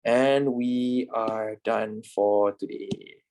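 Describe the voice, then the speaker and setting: A man's voice: on the low side, steady tone, drawn-out words, a little mellow. A man in his twenties teaching a trading class in a chatty, repetitive way, swearing now and then. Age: 20s. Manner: chatty, repeats himself, swears casually. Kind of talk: casual class lecture.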